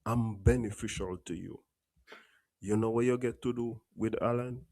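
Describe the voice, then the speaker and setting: Deep gravelly Caribbean man, stuffy. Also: fairly even intonation, darkish tone, no echo, studio quality. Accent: Caribbean English. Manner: plainspoken, blunt, unhurried monologue. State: stuffy from a cold. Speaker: host. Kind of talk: political commentary livestream. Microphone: home studio mic.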